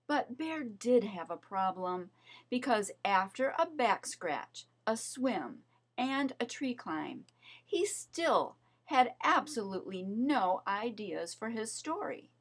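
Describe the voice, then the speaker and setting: Slow, expressive woman reading a storybook. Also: a little high. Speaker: storybook reader. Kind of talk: children's story narration.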